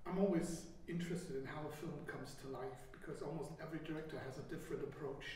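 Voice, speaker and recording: A man's voice: fairly high pitch, steady tone, fast talker, darkish tone. A man interviewing film guests, asking questions from off mic in an indoor room. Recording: echoey, distant, noisy off-mic pickup.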